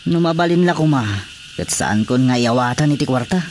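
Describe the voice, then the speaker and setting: A man's voice: medium pitch, expressive, fairly quick, darkish tone, a little hiss or hum, natural voice. A man playing a grumbling, jealous husband in an Ilocano radio drama comedy, with an Ilocano accent. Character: grumbling husband, jealous of his wife's cat.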